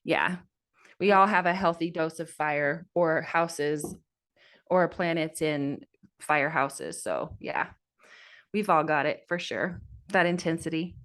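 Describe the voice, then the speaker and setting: A woman's voice: low-pitched, takes it slowly, a bit bright. A woman co-hosting a podcast, chatting casually and emphatically, often acting out what people say. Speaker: podcast co-host. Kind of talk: casual chat.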